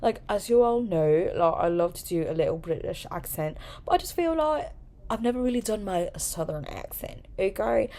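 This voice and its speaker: A woman's voice: medium pitch, moderate pace. A young woman from New York hosting a casual, chatty vlog, swearing and joking as she talks. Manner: chatty, animated, swears and jokes. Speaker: vlogger, podcast host. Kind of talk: casual chat to camera.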